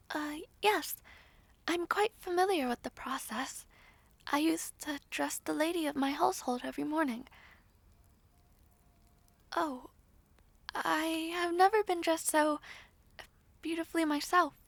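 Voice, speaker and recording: Woman doing a shy noble-born maid for audio roleplay, high voice, slow n hesitant, bit of creak, super polite. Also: dry recording. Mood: modest, wistful. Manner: hesitant, polite, modest, old-fashioned formal speech. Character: shy, humble young maid of noble birth, newly betrothed. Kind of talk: fantasy audio roleplay acting.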